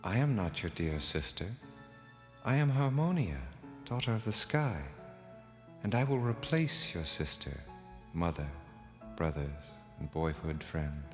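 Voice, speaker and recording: A man's voice: low voice, sing-song, measured pace, long reverb tail, faint music behind. A man voicing a mysterious, commanding goddess of the sky in a children's myth, speaking in a solemn, declamatory way. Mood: gentle, solemn. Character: mysterious female divine voice, daughter of the sky, commanding.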